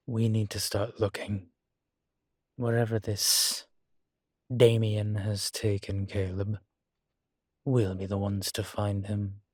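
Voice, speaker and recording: A man playing a guilt-ridden, gentle and wry ancient vampire in an intimate audio roleplay, speaking in a halting, reflective way. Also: fairly low pitch, no room sound, clean studio sound. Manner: halting, reflective, with sighs and soft chuckles. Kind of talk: intimate one-sided audio roleplay acting.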